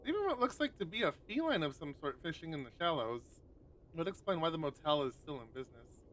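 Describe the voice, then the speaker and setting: Bright-voiced man hosting a game stream. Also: a little high, very animated, fast, slight background noise. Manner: casual, self-deprecating, rambling asides. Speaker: streamer host. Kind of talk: live let's play, reading game text aloud.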